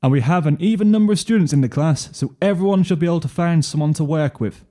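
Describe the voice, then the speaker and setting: A man's voice: fairly high pitch, fast talker, dark tone, pitched above the performer's own voice, natural voice. A man voicing a businesslike school chemistry teacher in a story, giving the class matter-of-fact instructions. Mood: businesslike announcing. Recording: piano music under the voice.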